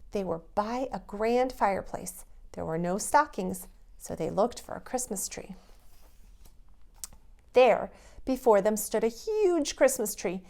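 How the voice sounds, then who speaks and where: A woman reading a storybook aloud to young children in an expressive storytelling manner. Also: mid-range voice.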